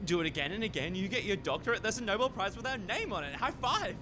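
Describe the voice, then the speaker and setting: Actor as an excitable man, scheming scientist, cheeky and wheedling, swooping pitch, radio farce, noisy rumbly home recording. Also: quick pace. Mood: eager, persuasive. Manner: incredulous, wheedling, animated. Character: excitable, broke, gambling male research scientist, scheming and cheeky.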